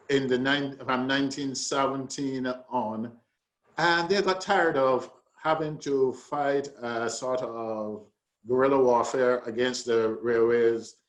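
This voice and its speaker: A man with a mid-pitched voice speaks as a panelist at a public discussion. He tells history like a story, deliberately and with emphasis, setting it out in measured phrases. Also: low-quality recording.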